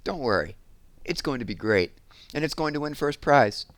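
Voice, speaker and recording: Man voicing a stubborn young schoolgirl, raised pitch. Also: lively intonation, normal speed, fairly bright tone, dry recording, close to an everyday voice. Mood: boastful, stubborn.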